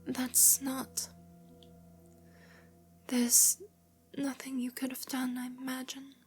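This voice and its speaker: A woman voicing a frail, sickly young girl who is sad and polite, in a feeble, breathy voice with a British accent. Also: high-pitched, completely flat delivery, slow, a little mellow, soft background music, voice pushed up, heavily acted. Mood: faint, sorrowful.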